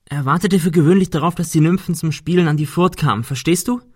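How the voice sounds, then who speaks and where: Urgent young man, read by a male narrator, lifted pitch. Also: quick pace, husky. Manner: urgent, explaining.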